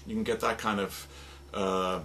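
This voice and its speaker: Low-voiced man demonstrating gear, noisy recording. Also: little pitch movement. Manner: halting, rambling, informal explanations. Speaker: radio drama producer demonstrating sound effects. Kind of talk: informal instrument demonstration talk. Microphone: stereo microphone. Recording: noisy recording with stereo microphone.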